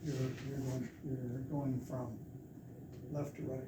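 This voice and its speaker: A man explaining a point step by step in a measured way during a seminar discussion. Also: medium pitch, level tone. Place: lecture room. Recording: echoey, far from the mic, noisy.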